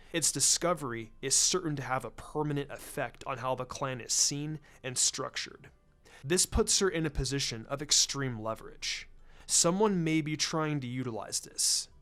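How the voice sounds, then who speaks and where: A man voicing a shrewd, laid-back intelligence chief in a story reading, with a careful, deliberate way of speaking. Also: fairly bright tone. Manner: careful, deliberate, low-key. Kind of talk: fan fiction story reading. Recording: music under the voice.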